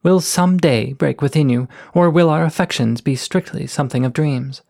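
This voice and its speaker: A man voicing a playful, flirtatious poet coyote of low station, reading florid love letters in a lyrical, teasing way. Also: normal speed, gravelly, darkish tone. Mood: lyrical longing.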